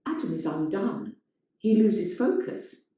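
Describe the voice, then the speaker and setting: Woman, dramatic story narration, slow and mellow, lively intonation, very echoey room. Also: mid-range voice. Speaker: reader of a play-like story.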